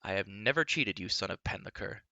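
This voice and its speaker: Man doing a hot-tempered young hero, loud, indignant, slightly raised, gravelly. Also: mid-range voice, animated, normal speed, no room sound, muffled recording.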